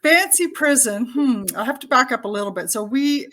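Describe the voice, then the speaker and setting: A woman's voice: high-pitched, animated, moderate pace, a bit bright. An older woman from Canada whose first language is Italian, talking casually as a podcast guest over a video call, chatty and prone to restarts. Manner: chatty, laughs often, frequent restarts. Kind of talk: casual interview chat.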